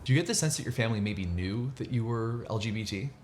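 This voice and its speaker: Brisk low-voiced male interviewer. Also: expressive, darkish tone, slight background noise. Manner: direct, brisk questioning. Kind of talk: interview questions.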